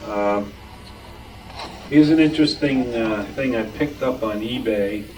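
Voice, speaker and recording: A man's voice: medium pitch, measured pace, a little mellow. A man giving an informal show-and-tell talk as a club member presenting items from a collection. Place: club meeting room with members behind. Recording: distant mic, noisy room.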